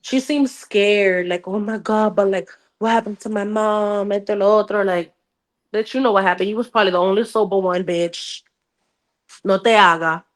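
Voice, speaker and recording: A woman's voice: mid-range voice, steady tone, moderate pace. Emphatic woman in slangy, repetitive chat. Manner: emphatic, slangy, repeats phrases. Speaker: book club podcast host. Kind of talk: casual bilingual chat with dramatized retelling.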